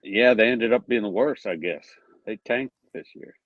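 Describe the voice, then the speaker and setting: A man's voice: lowish voice, moderate pace, no echo. A man in his sixties from the Pittsburgh area, joking and telling stories in an easygoing group chat. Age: 60s. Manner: easygoing, joking, leisurely storytelling. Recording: dull lo-fi voice chat audio.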